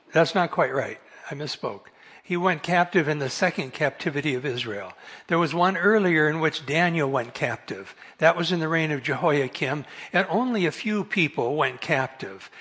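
Soft-spoken guy, bit high voice, laid-back bible study talk, laughs at his own slips. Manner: relaxed, self-correcting, chuckles often. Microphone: amplified microphone.

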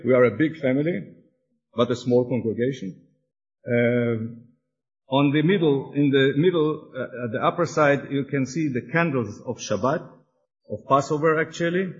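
A man from Austria presenting his congregation to an audience, hesitant and searching for words, a little excited. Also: mid-range voice, lo-fi sound. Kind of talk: halting presentation to an audience with slides.

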